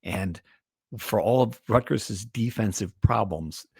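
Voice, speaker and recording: A man's voice: lowish voice, slow, vocal fry, darkish tone, breathy edge, dry recording, studio quality. A man co-hosting a sports podcast, talking through games in a casual, conversational style.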